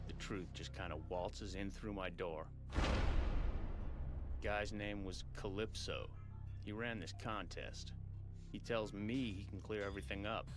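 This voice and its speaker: Low-voiced, world-weary tough guy. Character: tough, tattooed amnesiac man, hard-boiled and world-weary. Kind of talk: noir-like scripted video game monologue. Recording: music under the voice.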